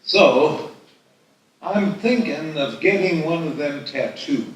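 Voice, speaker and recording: A man acting a stubborn, deadpan old husband in a comic live table read. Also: a little high, takes it slowly. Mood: dry, insistent. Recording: echoey room, distant mic, background noise.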